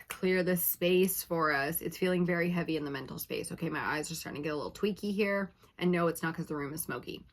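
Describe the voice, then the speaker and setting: A woman reading tarot for her viewers in a casual, chatty way, her voice slightly raspy from hours of talking, often checking in with "okay?". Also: fairly low pitch. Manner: chatty, laughing, uses filler like "okay?". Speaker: tarot reader.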